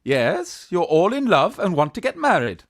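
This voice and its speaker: A woman with a British accent playing a flustered young gentleman who poses as a rich old aunt in a theatrical Victorian farce. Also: lowish voice, big pitch swings, moderate pace, no room sound, studio quality. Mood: coy, flustered. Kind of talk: Victorian stage farce, radio play acting.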